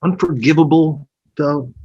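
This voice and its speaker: A man playing a probing, cynical former literary agent in a naturalistic two-man play, pointed and halting, with a husky voice. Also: on the high side, darkish tone, dull audio. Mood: defensive, earnest. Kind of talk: naturalistic stage dialogue.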